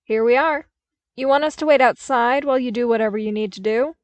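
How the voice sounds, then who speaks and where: A woman's voice: fairly high pitch, a little fast, no room sound, pitched above the performer's own voice, close to an everyday voice. A woman voicing a dutiful male soldier in an audiobook, respectful and firm, with dry amusement. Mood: brisk. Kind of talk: audiobook character acting.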